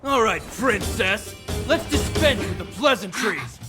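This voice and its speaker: A sneering, menacing street thug in a video game. His voice runs very high for a man and drawn out, over clearly audible music. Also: lively intonation, a little slow.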